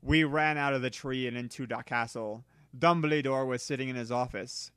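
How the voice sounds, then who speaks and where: A man reading a story aloud in a theatrical, exaggerated way, with a bright, forceful voice. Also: mid-range voice, normal speed, clear, resonant tone, dry recording. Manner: theatrical, exclamatory delivery with exaggerated emphasis. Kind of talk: dramatic reading of fan fiction.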